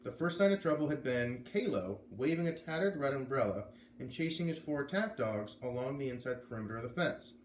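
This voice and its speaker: A man narrates a storybook aloud with lively, expressive pitch movement. The room is clearly echoey, with a little noise behind him. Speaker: storybook reader.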